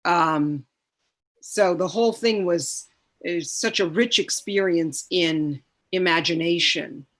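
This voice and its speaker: Deliberate, slow-spoken woman with a lowish voice. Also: a bit bright. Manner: deliberate, pausing, searching for words. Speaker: actor discussing a production. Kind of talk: reflective casual discussion.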